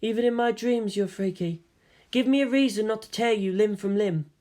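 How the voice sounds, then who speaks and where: A woman's voice: medium pitch, normal speed, dark tone, voice pushed up, natural voice. Woman playing a curt, fierce young commander. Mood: curt cold.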